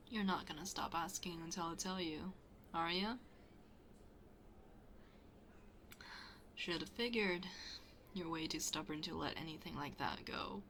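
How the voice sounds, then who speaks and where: A woman's voice: fairly low pitch, measured pace, long pauses, pushed and bright, a little hiss or hum. A woman playing a shy, flustered best friend hiding a crush in an intimate ASMR roleplay, hesitant and often dropping to a near-whisper. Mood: flustered, evasive, then teasing, resigned.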